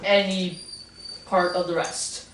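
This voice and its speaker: A woman's voice: fairly low pitch, level tone. A young woman plays a proud, touchy Renaissance master who brags about his art and snaps back with sarcasm. The voice stays close to her own, recorded from a distance in an echoey room with faint noise behind. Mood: snippy, boastful. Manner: sarcastic, quick comebacks. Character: boastful male Renaissance painter, touchy and snippy. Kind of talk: amateur mock interview roleplay.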